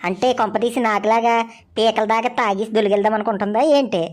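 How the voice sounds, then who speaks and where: A woman's voice: mid-range voice, fairly even intonation, quick pace, faint music behind, lightly acted. A woman voicing a sly, awkward young bachelor in a comic cartoon, talking colloquially with exclamations. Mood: alarmed, exasperated.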